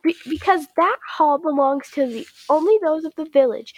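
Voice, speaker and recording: A woman's voice: sits high, normal speed, lightly acted, rough recording. A young woman playing a defiant, secretive village matriarch in a classic radio drama, speaking in an archaic, guarded and indignant way. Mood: defensive. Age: young adult. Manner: archaic thee-and-thou speech, guarded, indignant.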